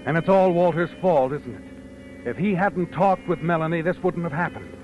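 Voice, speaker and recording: An actor playing a knowing, insinuating narrator who taunts like a conscience, in dramatic 1940s radio suspense style. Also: male voice, fairly high pitch, normal speed, close to an everyday voice. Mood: knowing, ominous. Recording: dull old radio broadcast with music.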